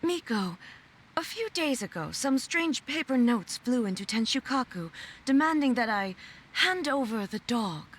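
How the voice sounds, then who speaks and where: An actress playing a solemn, regal ruler in a video game, speaking with formal, stately gravity. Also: female voice, fairly high pitch, very animated, unhurried, slight background noise. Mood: matter-of-fact.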